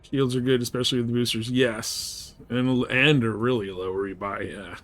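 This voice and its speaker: A man's voice: mid-range voice, fairly even intonation, moderate pace, a bit bright. A man chatting casually and informally as he livestreams a video game, laid-back in manner. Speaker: live streamer. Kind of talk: casual gaming livestream chat.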